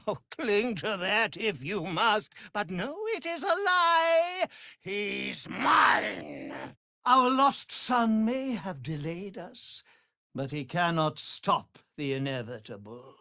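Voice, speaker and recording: Theatrical, swooping cruel vampire lord. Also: male voice, high voice, sing-song, slow, creaky, bright, forceful tone, dry recording, clean studio sound, exaggerated voice. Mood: taunting, menacing. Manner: theatrical, contemptuous, menacing, savoring words. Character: cruel, imperious aristocratic male vampire master. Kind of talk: dramatic video game villain acting.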